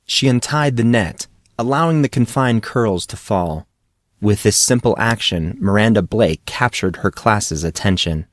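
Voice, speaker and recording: Low-voiced man narrating a story. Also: lively intonation, measured pace, no room sound. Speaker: audiobook narrator. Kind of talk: scripted fantasy story narration.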